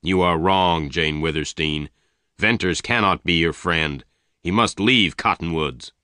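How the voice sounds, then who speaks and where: A man's voice: deep voice, unhurried, sharp tone, clear, resonant tone, no room sound, clean studio sound. Man doing an angry, threatening gang leader. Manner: harsh, threatening.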